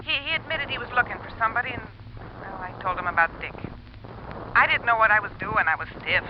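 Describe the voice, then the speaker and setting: Actress as a high-voiced, evasive shady wife. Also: female voice, animated, fast, natural voice. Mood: defensive, hesitant. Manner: hesitant, defensive. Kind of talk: 1950s radio drama acting. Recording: noisy, muffled vintage radio recording.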